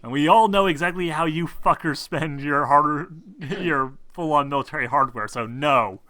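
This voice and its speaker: A man's voice: on the high side, moderate pace, sharp tone, dry recording. A man acting as game master, explaining options casually with sweary, exasperated asides to his players.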